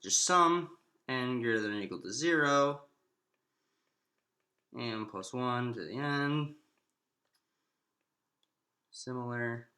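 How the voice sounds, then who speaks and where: A man's voice: medium pitch, takes it slowly, long pauses, pushed and bright. A man giving an informal math lecture, explaining steps aloud and posing questions to himself as he works. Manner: explanatory, thinking aloud with self-posed questions. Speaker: math instructor. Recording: echoey, roomy sound.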